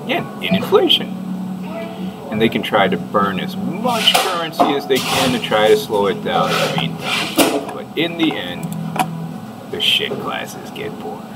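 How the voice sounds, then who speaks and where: A middle-aged man giving rambling, halting commentary as he reads out an article, sardonic and mock-preachy. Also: fairly low pitch, wildly swooping pitch, very noisy. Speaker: online commentator. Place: indoor room, seen on webcam. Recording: distant mic under loud music.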